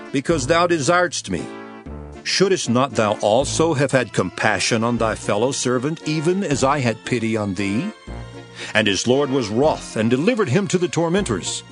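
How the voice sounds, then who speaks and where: Male grave scripture teacher, animated mid-range delivery, solemn emphatic oratory, music underneath. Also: medium pitch. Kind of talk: dramatized scripture reading.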